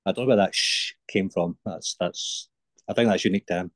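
A man's voice: sits low, fairly quick, no room sound. A man in his forties with an Edinburgh Scottish accent, a podcast guest giving brief, plain-spoken answers over a video call. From Scotland. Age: 40s.

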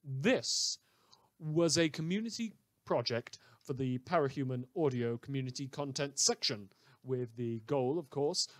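A man narrating a fan show's outro in a wordy, mannered way with halting phrasing. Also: fairly high pitch, no echo. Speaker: fan radio show creator.

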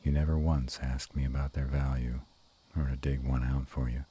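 Guy doing a gruff but soft-hearted dragon boyfriend, super deep n mellow, slow teasing murmur, romance roleplay audio. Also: little pitch movement. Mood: loving. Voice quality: very deep and husky. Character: affectionate, bashful, gruff male were-dragon lover.

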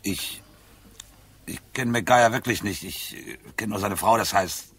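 Cagey bar pianist, husky lowish voice, defensive, radio crime play. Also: male voice, a little slow, a bit bright, full character voice. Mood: uneasy, evasive. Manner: guarded, hesitant under pressure.

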